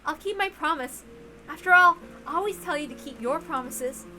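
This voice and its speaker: A woman's voice: high voice, normal speed, over music, voice pushed up, close to an everyday voice. A woman voicing a tense, anxious and secretive mother, hesitant and self-questioning, in a visual novel read aloud. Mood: flustered, stern. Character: anxious, secretive adult mother, quick to scold.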